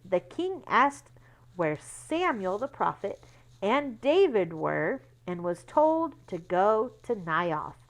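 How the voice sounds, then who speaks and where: A woman reading a children's book aloud like a teacher, with expressive emphasis and asides that explain things to young listeners. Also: medium pitch. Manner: instructive, explains words and checks understanding with children.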